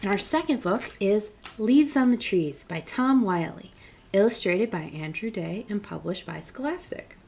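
A woman's voice: mid-range voice, expressive, normal speed, vocal fry, a little mellow, slight background noise. A young adult woman reading picture books aloud as a children's librarian, encouraging and speaking directly to young listeners. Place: indoor library room with bookshelves.

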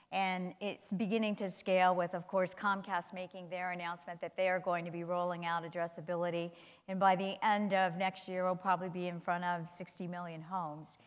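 Woman, 60s, conference panelist; lively delivery, slightly low pitch, reverberant hall. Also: clear, resonant tone. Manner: animated, gestures while talking. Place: large conference hall stage. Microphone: lapel mic.

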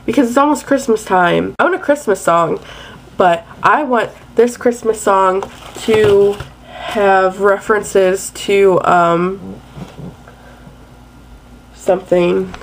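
A woman's voice: mid-range voice, slow, gravelly, a little hiss or hum, poor audio. A young woman chatting casually and playfully to her webcam in a bedroom at night. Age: young adult. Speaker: vlogger. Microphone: webcam at close range.

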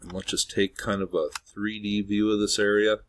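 A man with a fairly low voice talks over a screen recording, earnest and speculative, stacking up claims with "obviously". The delivery is a casual, unscripted voice-over made at a home desk. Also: flat delivery, moderate pace, a little hiss or hum. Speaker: video host.

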